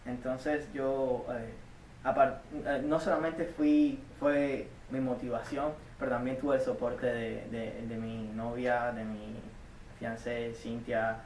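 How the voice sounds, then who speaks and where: Earnest young man, halting, echoey room. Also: mid-range voice, flat delivery, a little mellow, a little hiss or hum. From Cuba. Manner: earnest, halting, with many restarts and repeated words. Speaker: community college student. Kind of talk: interview answers. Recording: echoey room, mic at a distance.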